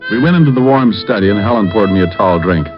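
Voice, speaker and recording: An actor playing a cool, wisecracking private detective, dry and hardboiled, in old radio drama style. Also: male voice, on the low side, lively intonation, fast talker, lightly acted. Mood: wry narrating. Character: wisecracking, cool male private detective narrating his case. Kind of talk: 1940s-50s radio drama narration and acting. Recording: dull vintage radio recording, faint music.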